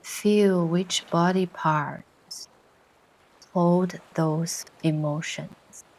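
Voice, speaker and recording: A woman narrating a guided meditation in a soothing, gentle way, with long pauses between short instructions. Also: on the low side, fairly even intonation, low-quality recording. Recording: ocean surf sounds behind the voice. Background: ocean waves ambience.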